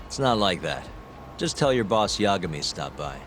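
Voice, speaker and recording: Low-voiced, offhand American detective. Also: male voice, very animated, normal speed, a bit bright, a little hiss or hum. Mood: casual. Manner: casual, dry, offhand. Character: cool, nonchalant male private detective. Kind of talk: video game voice acting.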